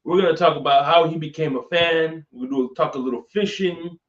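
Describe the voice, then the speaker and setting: An enthusiastic, laid-back man hosting a casual podcast, talking in slang with a slightly high voice. He sits away from the mic in an echoey room, and the sound is dull. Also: brisk, far from the mic. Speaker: podcast host.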